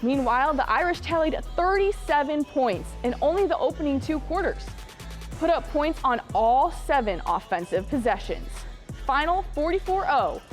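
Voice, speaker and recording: High-voiced punchy woman sports anchor. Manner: punchy, excited calls on big plays, wry asides. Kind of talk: scripted sportscast narration over highlights.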